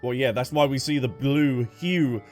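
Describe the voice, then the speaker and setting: Game streamer reacting, medium-pitched voice. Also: normal speed, darkish tone, faint music behind. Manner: reactive, thinking aloud. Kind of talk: casual gameplay commentary.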